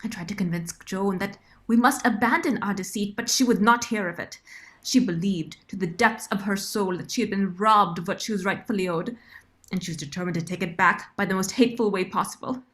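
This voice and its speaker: A woman playing a remorseful, loyal nursemaid in a period radio drama, giving an earnest, solemn and deliberate monologue. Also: medium pitch, fairly bright tone, natural voice. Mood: troubled. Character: Runa, remorseful, loyal Indian nursemaid confessing in writing.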